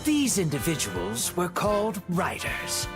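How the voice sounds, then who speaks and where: Male game narrator, theatrical storybook delivery, highly animated intonation, slightly slow and deliberate pacing, scripted. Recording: music under the voice.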